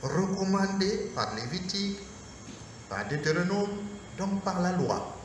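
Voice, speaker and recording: Man priest, high voice, slow measured sermon phrasing, heard from afar in a reverberant hall. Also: sing-song. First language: Mooré. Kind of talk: Lenten lecture sermon. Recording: echoey hall from far off, faint noise.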